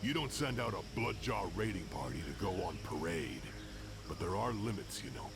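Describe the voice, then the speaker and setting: An actor voicing a brooding, hot-tempered orc warrior in a video game, speaking bluntly and cynically. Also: male voice, low-pitched, expressive, normal speed, loud music behind the voice. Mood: uneasy, cynical. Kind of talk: video game cutscene acting. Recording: loud outdoor ambience with insects.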